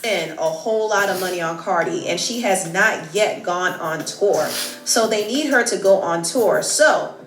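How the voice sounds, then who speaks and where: A woman's voice: fairly low pitch, unhurried, roomy sound. A woman gossip host giving scripted, emphatic celebrity news commentary with dramatic reveals.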